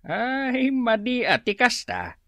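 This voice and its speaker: An actor with an Ilocano accent playing a concerned, querulous elderly father with a raspy voice in a radio drama. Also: very high voice, wildly swooping pitch, slow, fairly bright tone, no room sound, heavily acted.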